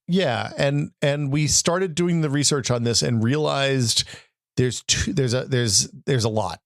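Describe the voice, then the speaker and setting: A slightly hoarse man co-hosting a tech podcast, musing aloud in halting questions full of restarts. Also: mid-range voice, no room sound, studio quality. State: a little hoarse. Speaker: podcast co-host. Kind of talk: casual tech chat.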